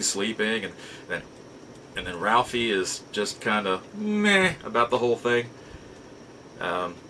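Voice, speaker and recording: A man's voice: on the low side, bright, forceful tone, slight background noise, rough recording. A middle-aged man casually telling personal stories as a show host, rambling in a folksy way and often rephrasing himself. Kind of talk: casual personal storytelling to camera.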